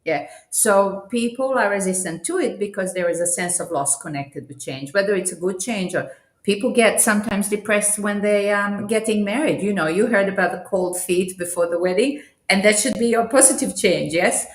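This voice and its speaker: A woman from Croatia talks about her career as a change specialist, thinking aloud at an unhurried pace. Her voice sits in the middle of the range, and the video call picks up a roomy echo around her. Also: mid-range voice. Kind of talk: interview over video call.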